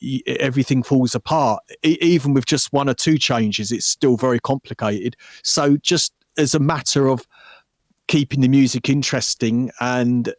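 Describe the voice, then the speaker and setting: A man who is a guest musician, talking in a thoughtful, measured way with many restarts. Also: medium pitch, no echo. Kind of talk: casual interview chat.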